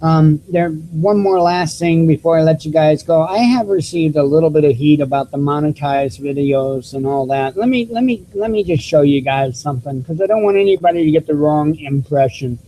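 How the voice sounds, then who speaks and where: A man with a squeaky voice hosting his own YouTube news channel, talking casually to viewers through a cheap desktop microphone with many restarts and fillers. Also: moderate pace, few pauses, dark tone, a little hiss or hum, poor audio. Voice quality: squeaky voice, self-described. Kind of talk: casual vlog talk to viewers.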